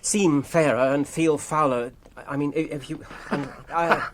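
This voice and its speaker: A man playing an earnest, anxious hobbit in a radio drama, speaking hesitantly with a husky voice. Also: on the high side, steady tone, a little slow, heavy background noise, natural voice. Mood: earnest, flustered. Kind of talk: classic radio drama acting.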